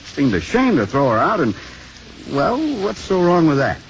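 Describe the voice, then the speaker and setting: A slightly noisy, muffled old radio play from the 1950s. a brash young landlord. His fairly high voice swings freely, glib and wry. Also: sing-song, normal speed. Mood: glib, disarming. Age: young adult.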